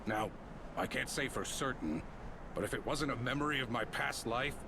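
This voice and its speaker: An actor playing a gruff, folksy man with a soft heart in a video game cutscene, talking in a colloquial way. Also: medium pitch, normal speed, background noise, lightly acted. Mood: defensive. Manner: colloquial, folksy drawl phrasing. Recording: loud music behind the voice. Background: loud game music.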